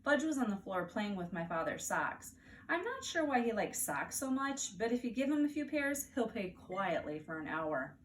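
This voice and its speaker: A woman with an American accent reads a children's story aloud as a teacher, animated and deliberate for young listeners. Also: mid-range voice. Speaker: teacher reading aloud to first graders. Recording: distant mic, faint background noise.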